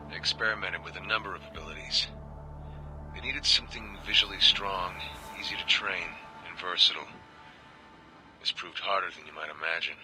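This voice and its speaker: An actor playing a world-weary government agent, confiding troubled reports in measured video game audio logs. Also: male voice, deep voice, fairly even intonation, music in the background, close to an everyday voice, poor audio. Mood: matter-of-fact.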